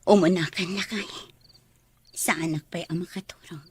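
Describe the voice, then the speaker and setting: A woman's voice: on the low side, fairly even intonation, moderate pace, darkish tone, slightly rough. An actress playing a mother in a radio drama who misses her child. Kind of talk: Ilocano radio drama acting.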